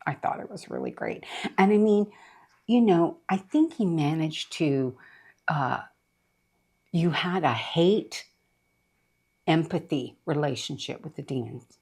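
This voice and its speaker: A middle-aged woman chatting casually about books she read, her voice rough after being sick, rambling with lots of fillers. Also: sits low, big pitch swings, slow pace, pauses often, a little mellow. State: voice rough from recent illness. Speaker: book reviewer. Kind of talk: casual book review chat.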